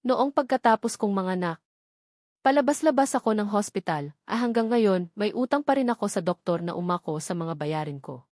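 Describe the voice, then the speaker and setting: Narrator lady as devoted filipino mom, gentle but nervous, story reading, close dry sound. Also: mid-range voice, lively intonation, a little fast, no echo, natural voice. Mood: anxious. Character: devoted young mother, tender, anxious, secretive.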